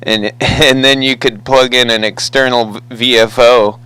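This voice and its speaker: A man's voice: mid-range voice, fairly even intonation, unhurried, sharp tone, clear, resonant tone, no echo, poor audio. An American man chatting casually over the radio as a hobbyist, with an easygoing, folksy manner. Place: two-way CB radio conversation.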